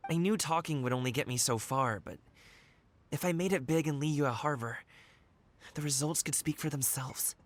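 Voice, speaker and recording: A man's voice: fairly high pitch, normal speed, lightly acted. An actor playing an earnest, easygoing young man, a dancer in a video game, with casual boyish delivery. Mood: earnest, wistful. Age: young adult. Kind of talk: video game character voice acting.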